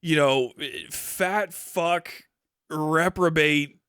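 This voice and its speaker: A man in his thirties ranting casually to a livestream chat, swearing freely in a mocking, animated way. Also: fairly high pitch, drawn-out words, smooth, no echo, clean studio sound.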